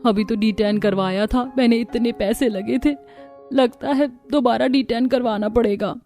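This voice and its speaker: A woman voicing a young, put-upon, complaining daughter-in-law in a Hindi animated family story. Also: a little high, normal speed, faint music behind, close to an everyday voice.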